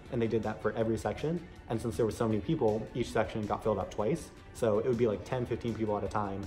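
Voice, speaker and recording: A man's voice: lowish voice, mellow. A man telling a personal story in a casual, chatty vlog style, often dropping "like" into his sentences. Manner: chatty, frequent "like" asides. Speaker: vlogger recounting an audition.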